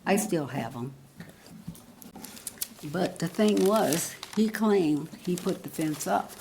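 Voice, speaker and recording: An elderly woman in her eighties with a low, gravelly voice speaks slowly and earnestly from a council podium, pausing often to find her words. The broadcast recording carries a faint hiss. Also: lively intonation. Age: 80s. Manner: halting, earnest, searching for words. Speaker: resident giving public comment. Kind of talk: public comment at a council meeting.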